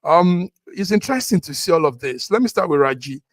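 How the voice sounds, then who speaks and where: A man with a Nigerian accent hosting a news discussion, speaking haltingly as he searches for words. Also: on the high side, expressive, no room sound, clean studio sound. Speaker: host.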